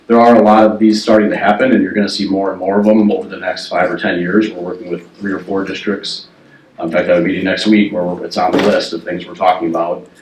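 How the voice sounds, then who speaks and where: A man working as a facilities planning consultant, giving a measured, explanatory presentation at a meeting with frequent ums and uhs. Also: sits low, level tone, slight background noise. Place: meeting room. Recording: echoey room, voice from far off the mic.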